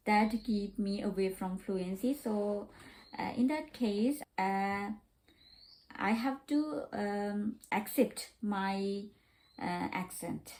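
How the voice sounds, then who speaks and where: A woman learning English as a second language, talking casually in a vlog, pausing with many fillers and repeating herself. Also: medium pitch, warm tone, low-quality recording. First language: not English.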